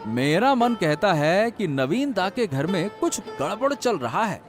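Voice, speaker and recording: Guy doing a nosy scheming village fish seller, super high voice all over the place, cartoon, music behind. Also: big pitch swings, measured pace. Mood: suspicious, scheming. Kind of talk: cartoon story dialogue.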